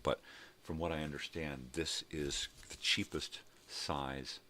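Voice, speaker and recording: A man's voice: extremely low pitch, sharp tone, a little hiss or hum. An older man rambling casually and unhurriedly as he hosts a morning vlog, repeating words for emphasis. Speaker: host of a daily morning vlog.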